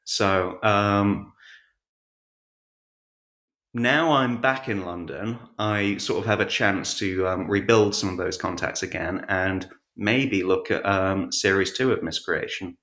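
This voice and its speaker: A man's voice: low voice, slow, fairly bright tone, reverberant. A man chatting casually as an interview guest, with a hesitant, self-effacing way of talking. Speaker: podcast guest, writer and voice actor.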